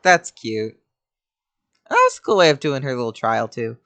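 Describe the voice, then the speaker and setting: A woman streamer chats informally while reacting to a game. Her voice is low and swings widely in pitch, recorded dry with music behind it. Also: huge pitch swings, moderate pace, a bit bright, no echo. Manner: informal, chatty. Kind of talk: casual off-the-cuff reactions to a game.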